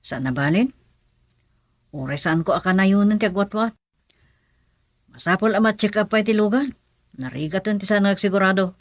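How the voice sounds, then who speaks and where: A woman's voice: medium pitch, normal speed, pauses often, no room sound, studio quality, lightly acted. A woman with an Ilocano accent playing a guarded investigator musing in a radio drama. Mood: casual.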